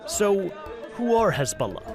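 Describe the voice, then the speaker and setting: A man's voice: sits high, big pitch swings, measured pace, loud music behind the voice, over a noisy crowd. A man narrating a scripted news explainer as a correspondent.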